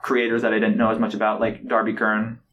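A young man in casual podcast conversation, telling stories in a chatty, animated way. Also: fairly low pitch, fast, highs cut off, a little hiss or hum. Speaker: podcast host. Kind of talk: casual chat.

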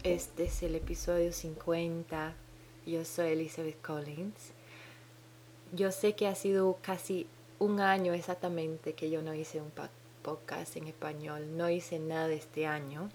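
A woman's voice: low-pitched, little pitch movement, takes it slowly, darkish tone, a little hiss or hum. A woman hosting her own podcast with a Dominican-tinged Spanish accent, talking alone in a hesitant way, often stopping to search for words and restarting. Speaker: podcast host. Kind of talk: solo podcast monologue. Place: home in New Zealand.

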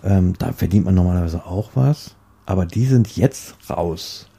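Deep, dark gravelly man chatting. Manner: halting, repeats words while searching. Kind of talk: casual, rambling chat.

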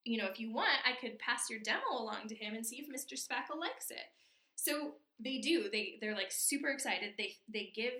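A woman's voice: fairly high pitch, expressive, moderate pace, bright, forceful tone, reverberant. A woman co-hosting a podcast, casually recapping a cartoon episode with frequent laughs. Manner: laughs often, chatty, drops to a near whisper at times. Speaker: podcast co-host.

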